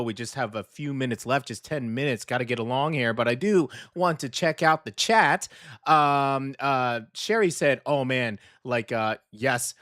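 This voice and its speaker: A man's voice: medium pitch, fairly bright tone. A middle-aged man hosting a casual radio show from a studio, upbeat and jokey, rambling loosely as he chats.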